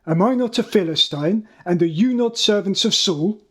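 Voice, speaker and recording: A man playing a defiant, taunting giant champion warrior in a dramatised Bible reading, declaiming his challenge loudly. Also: high-pitched, animated, moderate pace, breathy edge, full character voice.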